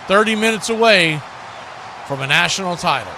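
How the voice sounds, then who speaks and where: A man calls a football game live over clear background noise. He talks conversationally, moving between play calls and his own take on the action. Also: high-pitched, animated, normal speed, pushed and bright. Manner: conversational, mixes calls with opinion. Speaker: play-by-play announcer for a fan watch-party stream. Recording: clearly noisy live stream audio.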